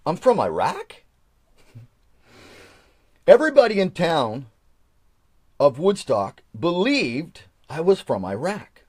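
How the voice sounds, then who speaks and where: Man, forties, chatty stream host who rambles and chuckles, animated pitch. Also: breathy edge, dry recording, clean studio sound. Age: 40s. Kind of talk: casual live chat, reading from an old journal.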